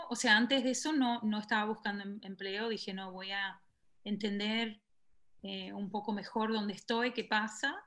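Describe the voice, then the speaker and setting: A woman's voice: fairly high pitch, fairly bright tone. A woman, a guest in an online panel, telling her own story casually, with many fillers and self-corrections. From Argentina. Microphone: video call.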